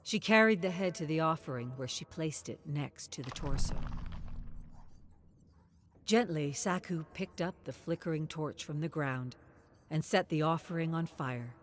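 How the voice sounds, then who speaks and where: A woman's voice: sits low, measured pace, slight background noise. A woman reading scripted story narration.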